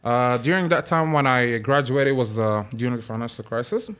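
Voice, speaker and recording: A man's voice: medium pitch, brisk, clean tone. Man from Sudan, thirties, podcast guest, laid-back casual chat, laughs easily. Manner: easygoing, relaxed, laughs often.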